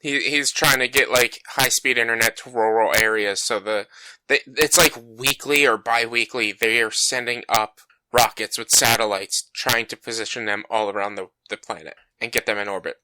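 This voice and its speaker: A man's voice: steady tone, moderate pace, sharp tone, no room sound, rough recording. A man co-hosting a casual gaming podcast, talking in a rambling, self-deprecating way with plenty of fillers. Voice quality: bassy voice. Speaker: podcast co-host. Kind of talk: casual chat. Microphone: home podcast mic.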